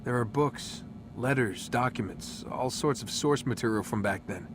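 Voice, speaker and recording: An actor plays a skeptical young man held captive in a video game. He talks in a casual, wary way at a medium pitch, with clear noise behind him. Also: moderate pace, close to an everyday voice. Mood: doubtful questioning. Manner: wary, casual, dryly questioning. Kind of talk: naturalistic game cutscene dialogue.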